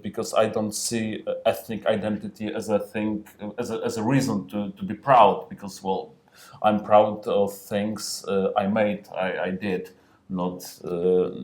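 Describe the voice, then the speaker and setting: Silesian guy on a panel, lowish voice, talks real slow, lots of ums and restarts. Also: a little mellow. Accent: Silesian-accented Polish. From Silesia, Poland. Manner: hesitant, many fillers and restarts. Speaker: panel guest novelist. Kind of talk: panel discussion. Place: festival stage.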